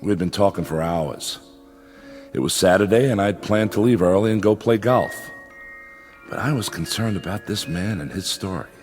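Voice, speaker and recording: Man acting low-voiced, rational psychiatrist. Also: a little mellow, soft background music, close to an everyday voice. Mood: pensive, concerned. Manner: deliberate, explanatory, emphatic. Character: measured, rational male psychiatrist reasoning with patient. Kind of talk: dramatic dialogue and first-person narration.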